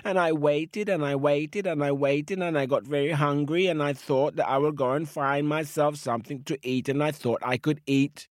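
A man's voice: a little high, fairly even intonation, moderate pace, few pauses, dry recording, clean studio sound, close to an everyday voice. A man voicing a small, hungry baby male crocodile in a childlike, stammering way in a spoken storybook. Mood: plaintive.

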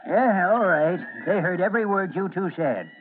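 An actor playing a teasing, folksy old zoo monkey expert in a vintage radio drama. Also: male voice, high voice, expressive, moderate pace, a little hiss or hum. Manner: folksy, chuckling, needling. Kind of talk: old-time American radio acting. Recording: narrow, tinny vintage radio recording.

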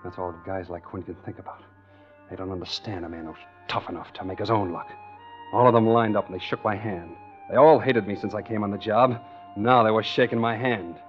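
A man's voice: on the low side, fairly quick, lightly acted. An actor playing a cocky, scheming American tough guy in a hard-boiled, slangy 1940s radio thriller. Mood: coldly scornful. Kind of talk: 1940s radio thriller acting and first-person narration. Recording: dull 1940s radio recording with music.

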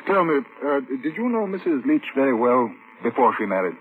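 An actor playing a methodical, courteous police inspector in a vintage radio drama, speaking formally and with measured care. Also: male voice, mid-range voice, big pitch swings, close to an everyday voice. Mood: polite questioning. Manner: formal, measured, probing questions. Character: methodical, courteous male police inspector narrating his investigation. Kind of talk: 1940s-1950s radio drama acting and first-person narration. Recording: tinny, hissy vintage radio recording.

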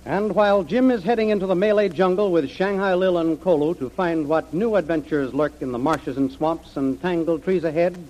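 A man's voice: high voice, a little mellow. A man announcing and narrating a 1930s radio adventure serial in a dramatic, declamatory style. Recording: muffled old radio recording.

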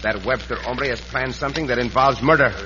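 An actor playing a bold, courteous Mexican outlaw hero with a Mexican accent in an old radio western. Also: male voice, medium pitch, a little fast, bright, forceful tone, natural voice. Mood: urgent. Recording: muffled, noisy old radio recording.

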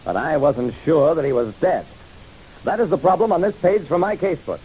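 A man's voice: a little high, animated, a little fast, dark tone, lightly acted, low-quality recording. An actor playing a hard-boiled private detective narrating his casebook in a wry, dramatic, punchy radio style. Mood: wry, matter-of-fact. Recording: hissy old radio recording.

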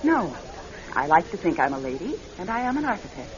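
A woman's voice: fairly low pitch, sing-song, a little fast, a crowd in the background. Dull lo-fi radio recording, poised woman. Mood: polite, reserved. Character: polite, poised divorced woman architect on holiday. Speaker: actress and narrator in a radio drama. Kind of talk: classic radio drama acting.

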